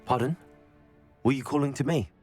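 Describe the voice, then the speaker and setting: An actor playing a polite, helpful young wizard student, the hero of a video game, speaking in an earnest, measured way. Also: male voice, medium pitch, darkish tone, natural voice. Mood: courteous, concerned. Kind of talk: video game protagonist dialogue. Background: background music.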